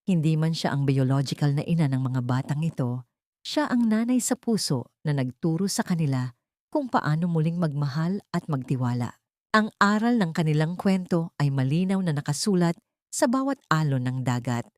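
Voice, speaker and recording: Low-voiced Filipino woman narrating a story. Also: lively intonation, normal speed, darkish tone, no room sound, studio quality. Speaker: audiobook narrator. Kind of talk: scripted dramatic story narration.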